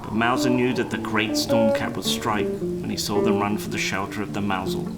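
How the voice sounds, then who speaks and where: A man reading a children's story as a narrator, in a measured, dramatic storytelling delivery. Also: fairly low pitch, level tone, gravelly, low-quality recording. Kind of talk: scripted storybook narration for a live puppet show. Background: plucked guitar music.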